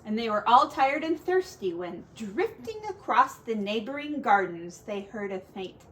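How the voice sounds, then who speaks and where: A woman teacher reading a children's story aloud in an expressive storytelling style. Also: a little high, unhurried, a little hiss or hum, rough recording.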